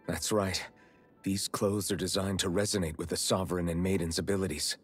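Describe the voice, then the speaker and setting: A man's voice: low-pitched, little pitch movement, a little fast, husky, soft background music. A man playing an earnest, resolute young hero in a fantasy video game cutscene.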